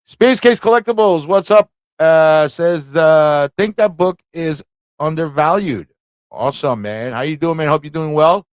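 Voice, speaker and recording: A man's voice: a little high, a little slow, smooth, dry recording. A man hosting a casual live stream, thinking aloud in an easygoing, informal way as he chats, reads aloud and reacts.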